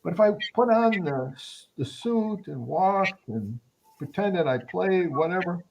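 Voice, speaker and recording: Older male guest, reflective and halting, animated pitch, faint background hiss. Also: lo-fi sound. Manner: thoughtful, hesitant, many restarts and fillers. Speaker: guest anthropologist. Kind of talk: reflective conversational interview answers.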